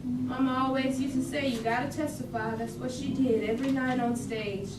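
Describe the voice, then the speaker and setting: A woman acts a devoted daughter with a fairly high voice, testifying in lyrical folk dialect. The staged reading is picked up at a distance, under loud background noise. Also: steady tone, moderate pace, natural voice. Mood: fond, earnest. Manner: storytelling in Southern-flavored folk dialect. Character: devoted, admiring daughter testifying about her memories. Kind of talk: staged reading of a poetic monologue. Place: indoor room at a staged reading. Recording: echoey, noisy room, distant mic.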